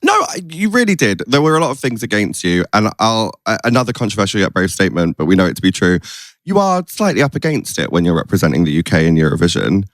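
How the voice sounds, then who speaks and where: A man's voice: fairly low pitch, huge pitch swings, quick pace, fairly bright tone, no room sound. A British man hosting a podcast in casual chat, with playful, campy banter. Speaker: podcast host.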